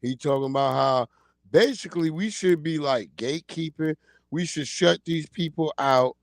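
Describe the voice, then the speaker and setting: A man's voice: on the high side, moderate pace, gravelly, no echo. Forty-something man ranting bluntly, dry sound. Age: 40s. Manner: animated, blunt, slangy ranting. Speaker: livestream host. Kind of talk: casual commentary livestream. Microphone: streaming mic.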